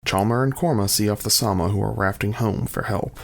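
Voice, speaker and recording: A man with a gravelly voice narrating a scripted audio drama. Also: lowish voice, brisk.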